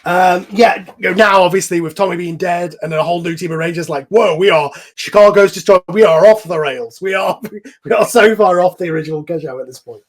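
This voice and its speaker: A man with a fairly high voice hosts a casual group conversation. He talks informally, as the show's creator, over an online voice call. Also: fairly quick. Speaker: host and show creator.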